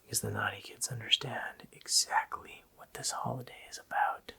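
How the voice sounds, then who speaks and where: A wicked, child-snatching Christmas demon in an ASMR roleplay. The voice is a sharp, hissing whisper that breaks into gravelly fry. He taunts slowly, with mocking menace. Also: mid-range voice, steady tone, heavily acted. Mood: scornful, bitter. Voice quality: breathy, gravelly rasping whisper.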